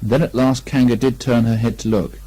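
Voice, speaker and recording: Mellow man narrating a children's story. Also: medium pitch, flat delivery, brisk. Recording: lo-fi amateur 1980 speech recording.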